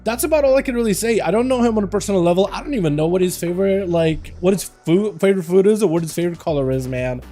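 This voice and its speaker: Chatty gaming streamer with a high voice. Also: male voice, expressive, quick pace, hardly any pauses, mellow, soft background music. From Russia. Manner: loose and chatty, many fillers and restarts. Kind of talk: casual livestream chat. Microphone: headset.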